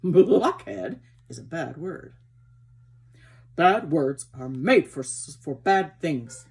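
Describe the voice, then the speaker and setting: A prim little male pony from a storybook, as a woman acts him out. He is earnest and emphatic, with a husky tone and pitch that jumps around. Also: animated, slow pace, darkish tone, heavily acted. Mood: prim, scolding.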